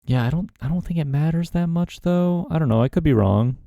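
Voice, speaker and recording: A man's voice: a little high, fairly quick, creaky, no echo, highs cut off. A man giving casual commentary while playing a card game, thinking aloud about his choices. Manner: relaxed, musing, talks himself through plays. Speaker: gaming content creator.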